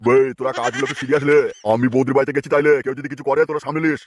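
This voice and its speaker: A man's voice: medium pitch, flat delivery, racing, a little hiss or hum, natural voice. A man voicing a crude, impatient, lecherous village chief in a comic cartoon. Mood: bossy.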